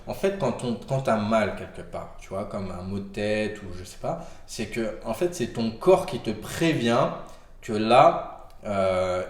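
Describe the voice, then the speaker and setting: A man's voice: fairly low pitch, reverberant. A man with a cold giving casual health advice to camera, rambling with many fillers and restarts. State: has a cold.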